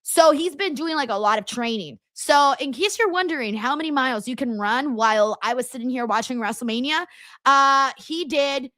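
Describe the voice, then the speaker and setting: Woman host, high bright voice, loose chatty manner, laughs often, dry close mic. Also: moderate pace, no echo. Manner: talks straight to her viewers. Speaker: live-stream host reading chat. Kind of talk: casual watchalong chat.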